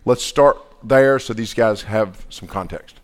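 A man, casually hosting a couples panel at a live event, relaxed and chatty. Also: on the low side, unhurried. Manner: relaxed, chatty, laughs often.

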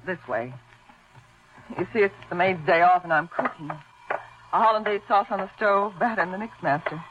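A woman's voice: lowish voice, normal speed, natural voice. A woman acting a composed, politely fussy housewife with a hostess manner, in 1940s radio style. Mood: polite, fussing. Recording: muffled, noisy vintage radio recording.

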